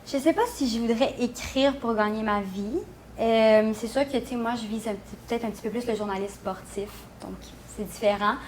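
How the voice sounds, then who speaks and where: A woman's voice: a little high, steady tone, a little fast, slight background noise. A young woman with a Quebec French accent, chatting casually and informally, full of little filler phrases. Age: young adult. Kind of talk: casual interview chat.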